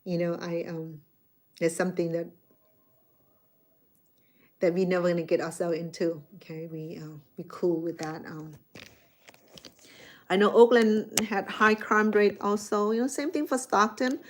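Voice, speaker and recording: A woman's voice: fairly low pitch, fairly even intonation, measured pace, pauses often, slight background noise. Middle-aged Cambodian-accented woman chatting. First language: Khmer. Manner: rambling, repeats words as she restarts, chatty with viewers. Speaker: chef hosting a live stream. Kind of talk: casual live-stream chat with viewers.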